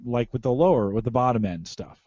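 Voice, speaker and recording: A man's voice: medium pitch, lively intonation, a little fast, a little mellow, no room sound, lo-fi sound. A middle-aged American man hosting a casual tech podcast, repeating phrases for emphasis. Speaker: podcast host. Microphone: close podcast microphone through mixer.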